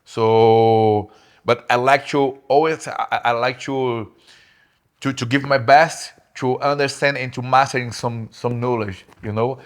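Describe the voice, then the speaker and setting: A young man, a guest on a podcast, speaking in halting English with many repeated words and frequent laughs. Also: medium pitch, fairly even intonation. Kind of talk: casual interview.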